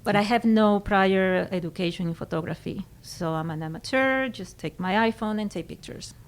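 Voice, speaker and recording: A middle-aged woman from Venezuela with a Venezuelan Spanish accent, talking as a guest in a conversational interview and pausing to search for her words. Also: medium pitch. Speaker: guest photographer.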